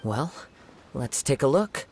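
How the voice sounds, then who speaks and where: An actor playing a calm, perceptive young swordsman investigator in a video game, speaking formally and deliberately. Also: medium pitch, a little hiss or hum. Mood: grave, composed. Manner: composed, deliberate, articulate, formal.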